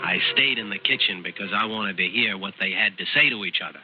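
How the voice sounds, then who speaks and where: A male actor plays a calculating young American man in an old radio drama. His voice sits on the low side, folksy and halting, with an insinuating edge. The vintage recording is dull, with music underneath. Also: close to an everyday voice. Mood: sly, confiding. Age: young adult. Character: sly, calculating young man feigning boyish grief. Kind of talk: mid-century radio drama acting, dialogue and first-person narration.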